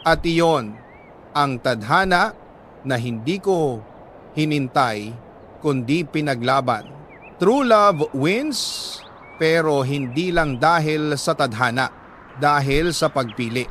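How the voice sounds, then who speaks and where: Filipino male storyteller, slightly high voice, emotive dramatic narration, deliberate pauses, noisy background. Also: a bit bright. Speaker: storyteller reading a letter on a love stories show. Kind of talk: dramatic scripted first-person narration.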